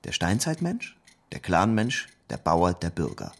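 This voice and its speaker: Animated, husky man reading theory aloud. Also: low-pitched, measured pace. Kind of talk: dramatic reading of a theoretical text.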